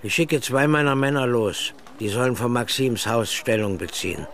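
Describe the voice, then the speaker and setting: A man's voice: mid-range voice, moderate pace, fairly bright tone, natural voice. A man playing a brusque French police inspector in a crime radio drama, commanding and sarcastic. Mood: businesslike.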